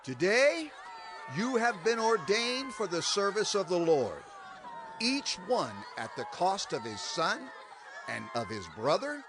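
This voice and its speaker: A man's voice: high voice, very animated, takes it slowly, a crowd in the background, noisy background, heavily acted. A man playing a fervent, stern prophet and leader in a dramatized scripture reading, declaiming with passion. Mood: commanding, fervent. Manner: impassioned, declamatory.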